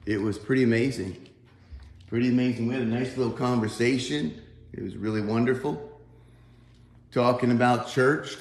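A man in his fifties with a Southern accent, a pastor giving an informal, folksy morning devotional to a phone camera in a small church sanctuary. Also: medium pitch. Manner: folksy, conversational, animated. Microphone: phone camera on a tripod, close up. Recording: very echoey church room.

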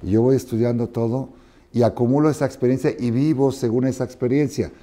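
A man in his sixties teaching a Torah class in a study hall, expressive and often asking his listeners whether they follow. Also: medium pitch, brisk. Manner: expressive, questioning listeners, acting out dialogue. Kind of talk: animated Torah lesson with parables. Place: study hall with wooden chairs, indoors.

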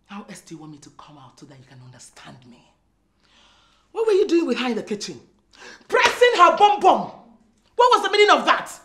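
A woman's voice: high-pitched, very animated, very fast, hardly any pauses, a little mellow. A woman with a Nigerian accent playing an indignant, jealous wife in a comedy film, confronting with repeated rhetorical questions. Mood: outraged. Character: indignant, jealous wife scolding her cheating husband. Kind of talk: Nollywood comedy film acting.

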